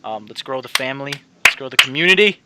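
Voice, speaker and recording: A man's voice: medium pitch, expressive, fast, heavy background noise. A man doing casual let's-play commentary as a channel host, rambling and repeating himself as he talks to his viewers.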